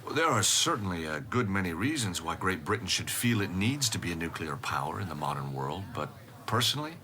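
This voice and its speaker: Male defence expert, low forceful voice, blunt, assured, worldly, radio drama. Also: very animated, fairly quick, a little hiss or hum, heavily acted. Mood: frank. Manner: frank, matter-of-fact, assured. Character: blunt, worldly American nuclear weapons expert.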